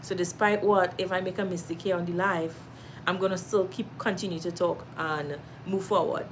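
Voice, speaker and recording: A woman's voice: fairly low pitch, fairly even intonation, normal speed, slight background noise. A Trinidadian woman hosting a casual livestream at home, reading viewer comments, laughing often and giving encouragement. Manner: warm-hearted, encouraging, laughs often, reads comments aloud. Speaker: live stream host.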